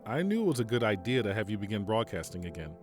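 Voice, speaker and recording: A man playing a stern, principled army general in an audio drama, speaking formally and deliberately. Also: fairly low pitch, sing-song, a little mellow, faint music behind. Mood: formal approval. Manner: formal, measured, deliberate speech. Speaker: military general giving a radio interview.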